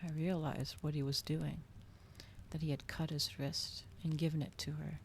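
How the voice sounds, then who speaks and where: Female ASMR reader, low and creaky, hushed delivery drifting into whispers, faint noise behind. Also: flat delivery, normal speed. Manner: hushed, often slipping into whispers. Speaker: ASMR streamer reading a novel aloud. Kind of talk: ASMR storybook reading.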